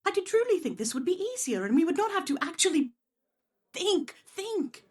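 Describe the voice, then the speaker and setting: A woman's voice: very high voice, big pitch swings, moderate pace, no echo, studio quality, pitched above the performer's own voice. A woman voicing a bold, wily tavern seductress in an audio play, coaxing in formal archaic English. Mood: anxious, pleading.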